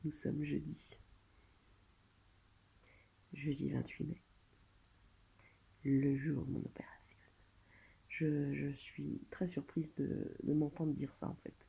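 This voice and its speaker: A French woman speaking casually and hesitantly, as in a personal video diary, pausing and trailing off between phrases. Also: low-pitched, flat delivery, gravelly, dark tone, breathy edge, dull audio. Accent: French, from France. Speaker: vlogger documenting own surgery stay. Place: hospital room.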